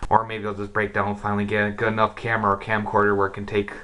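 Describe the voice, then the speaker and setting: A man's voice: on the low side, monotone, very fast, bright, forceful tone. A man giving a casual radio hobbyist demonstration, informally thinking aloud, recorded indoors on a cheap camera mic. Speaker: amateur shortwave radio hobbyist and presenter. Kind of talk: casual hands-on demonstration vlog. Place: indoor room with radio equipment. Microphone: camera's built-in mic. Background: shortwave radio hiss and clicks.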